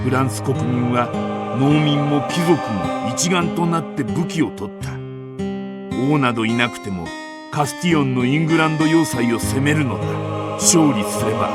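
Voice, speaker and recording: Devoted soldier chronicler, very slow measured delivery, solemn, game cutscene narration, music behind. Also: male voice, medium pitch. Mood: resolute. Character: solemn, devoted male French soldier telling war history. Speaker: campaign narrator. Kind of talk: dramatic scripted game narration.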